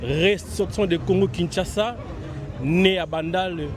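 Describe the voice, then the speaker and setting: A man's voice: high-pitched, measured pace. A man attending a concert, speaking in an earnest, proud way. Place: outdoors in a crowd. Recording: crowd noise outdoors. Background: crowd.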